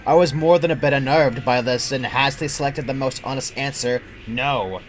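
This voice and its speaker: A man's voice: medium pitch, normal speed, over music. A young adult man reading a scripted first-person creepypasta as its narrator. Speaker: horror story narrator.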